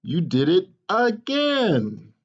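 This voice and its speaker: A woman voicing a patient, weary father chicken who gently scolds his child in a storybook read-aloud. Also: fairly low pitch, huge pitch swings, highs cut off, full character voice. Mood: weary reproof. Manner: slow, drawn-out, gently admonishing.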